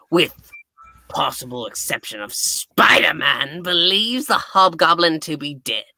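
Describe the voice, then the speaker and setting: A man's voice: on the high side, gravelly, sharp tone, no echo, heavily acted. A man voicing a grandiose, gloating, power-mad goblin supervillain from a comic book in a live dramatic reading. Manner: grandiose villainous monologuing.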